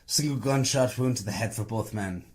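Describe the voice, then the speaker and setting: An actor playing a needling, sardonic police detective in a noir audio drama, facing an old rival. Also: male voice, medium pitch, moderate pace, natural voice. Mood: suspicious.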